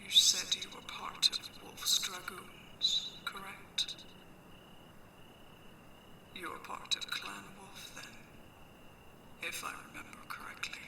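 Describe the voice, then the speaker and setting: Woman as a slow, sly space pirate, echoing. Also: medium pitch, level tone, gravelly, in a whisper, recorded from a distance. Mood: calculating. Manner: teasing, drawn-out, mock-sweet threats. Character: sly, menacing female space pirate mech pilot. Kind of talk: ASMR sci-fi audio roleplay.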